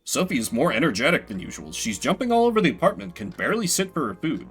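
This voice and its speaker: A man's voice: on the high side, sing-song, fast, a bit bright, breathy edge, soft background music. A man playing a video game as a streamer, mixing casual commentary with theatrical readings of the on-screen text.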